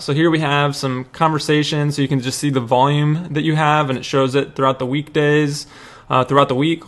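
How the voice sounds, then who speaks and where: A young man presenting a software demo in a casual, informal, conversational way, recorded indoors at a computer. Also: fairly high pitch.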